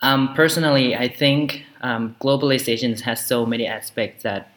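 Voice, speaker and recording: A young man from Thailand, a guest in a radio panel discussion, speaking in a measured way with frequent ums. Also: mid-range voice, fairly even intonation, fairly bright tone.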